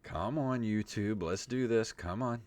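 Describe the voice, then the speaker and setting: A man's voice: on the low side, darkish tone, dry recording. A tired-sounding man hosting a podcast, chatting casually and comedically, sometimes reading out theatrically. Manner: theatrical, drawn-out dramatic reading of stories. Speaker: podcast host. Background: background music.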